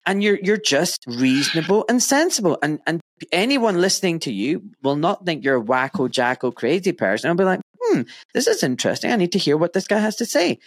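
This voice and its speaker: A man with a Glaswegian accent, hosting a casual podcast conversation in an animated, emphatic way, with free swearing. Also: high voice, brisk, hardly any pauses, no echo, clean studio sound. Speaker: podcast host.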